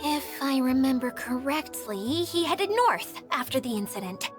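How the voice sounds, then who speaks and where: A woman's voice: sits high, very animated, unhurried, music in the background. A woman voicing a timid, formal, easily flustered mascot caretaker in a video game, hesitant and apologetic. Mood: earnest, polite. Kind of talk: video game character dialogue.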